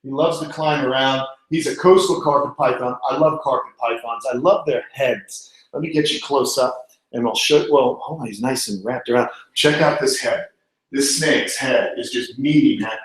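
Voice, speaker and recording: A man's voice: mid-range voice, normal speed. A strongly reverberant indoor room surrounds an American man with a mid-range voice. He hosts an animal live stream, talking in a loose, informal, chatty style as he answers questions. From Long Island, New York, United States. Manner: informal, chatty, calls people man and bud. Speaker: animal channel host.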